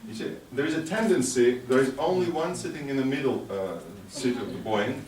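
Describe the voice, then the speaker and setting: Halting male conference speaker in echoey hall. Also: medium pitch, a little mellow, recorded from a distance. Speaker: speaker addressing a youth conference. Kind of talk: motivational speech with audience questions. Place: large echoing hall at a conference ceremony. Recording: echoey hall from afar, background noise.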